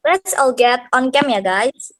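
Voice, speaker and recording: A young woman with an Indonesian accent, giving a careful, halting formal welcome as host of an online class. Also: sits high, lively intonation, a little hiss or hum, low-quality recording. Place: small room at home. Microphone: video call, laptop at desk distance.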